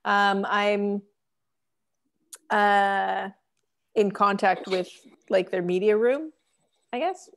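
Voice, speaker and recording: A woman's voice: medium pitch, extremely slow, long pauses. Chatty young woman on a video call. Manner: chatty, frequent fillers and laughs. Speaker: magazine editor-in-chief. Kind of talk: casual video call chat. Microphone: earbud headset on video call.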